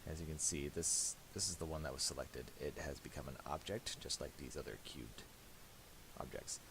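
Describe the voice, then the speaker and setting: A man giving a casual software tutorial, speaking haltingly and restarting his phrases as he explains each step. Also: extremely low pitch, noisy background. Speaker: tutorial presenter.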